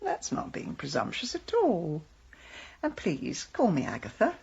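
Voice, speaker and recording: An actress playing a sharp-tongued, vain middle-aged businesswoman turned village detective in a radio drama, brisk and drily wry. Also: on the low side, wildly swooping pitch, vocal fry, dull audio, a little hiss or hum, exaggerated voice. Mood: eager, coy.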